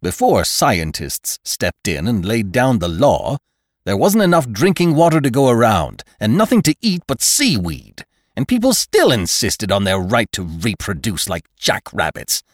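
A man's voice: mid-range voice, huge pitch swings, unhurried, no echo, studio quality, voice pushed up. A man voicing a pompous, exuberant elderly doctor in a storybook reading, with an emphatic, lecturing, oratorical manner. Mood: severe, lecturing. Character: pompous, exuberant elderly male doctor preaching utopian order.

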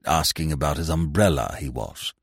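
A man's voice: low-pitched, sing-song, a little fast, a little mellow, slightly rough, dry recording, studio quality, pitched below the performer's own voice, exaggerated voice. A man voicing a put-out club cloakroom attendant, deferential yet coldly grumbling, in an audiobook. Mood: coldly reproachful. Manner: deferential, grumbling, servile "sir". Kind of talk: audiobook character voice.

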